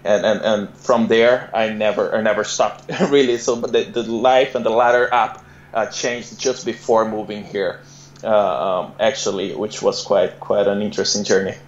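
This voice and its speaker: A man's voice: lowish voice, fairly even intonation, normal speed, roomy sound, muffled recording. A young man from Brazil with a Brazilian Portuguese accent, speaking as a guest in a casual interview, hesitant and full of fillers and repeated words.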